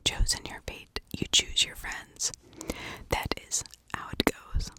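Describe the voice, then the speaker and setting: A woman whispering in an informal ASMR style, telling stories and giving her own opinions in a breathy, conversational way. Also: slow. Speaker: ASMR true crime storyteller, channel host.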